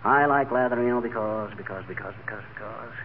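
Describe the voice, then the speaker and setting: A man's voice: medium pitch, fast, close to an everyday voice. An American man acting as an exasperated, bumbling, grumbling husband in a 1930s radio comedy. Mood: musing. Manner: grumbling, blustery, theatrical. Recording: tinny 1930s radio broadcast, loud static, audience.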